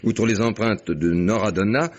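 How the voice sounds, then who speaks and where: A calm, measured investigator, played by an actor with a lowish voice in a vintage radio play. He speaks with quiet, faintly amused assurance. The sound is dulled like an old broadcast. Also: lo-fi sound, lightly acted. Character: calm male police investigator. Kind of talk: 1950s French radio drama acting.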